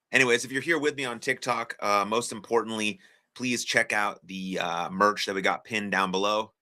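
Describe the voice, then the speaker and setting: A young American man with a low voice and a bright, forceful edge hosts a live stream. He chats casually and encouragingly with his viewers, filling pauses with plenty of "uh"s. The delivery stays loose and unscripted. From United States. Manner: chatty, encouraging, frequent filler words. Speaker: livestream host.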